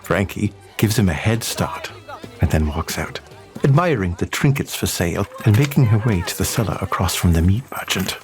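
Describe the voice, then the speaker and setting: Low-voiced male story narrator. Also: expressive, moderate pace, soft background music.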